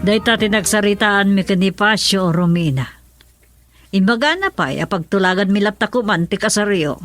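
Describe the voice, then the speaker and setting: A woman's voice: mid-range voice, expressive, normal speed, a bit bright, soft background music, lightly acted. An actress playing an insistent, nagging middle-aged mother in a radio drama, with an Ilocano accent. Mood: scheming. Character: insistent middle-aged mother pushing her daughter to marry.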